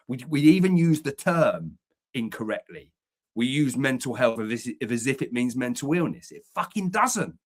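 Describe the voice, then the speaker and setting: An English man co-hosting a podcast from an upstairs room at home, earnest and emphatic, swearing to drive points home. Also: medium pitch. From England. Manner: emphatic, swears for emphasis, repeats words when pressing a point. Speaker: podcast co-host, men's community founder. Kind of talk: earnest conversational podcast talk.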